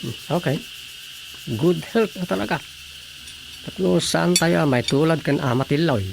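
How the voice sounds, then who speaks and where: A man playing a chatty, opinionated husband in a radio drama comedy, with an Ilocano accent and a gravelly voice. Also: fairly high pitch, a little slow, background noise.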